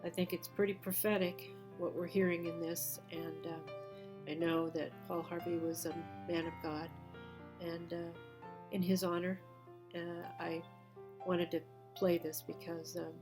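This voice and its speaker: Lady w a cold, kinda hoarse, flat voice, lots of ums, music playing behind. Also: on the low side, measured pace. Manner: hesitant, many fillers. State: losing her voice from a cold. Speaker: video blogger. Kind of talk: casual video intro.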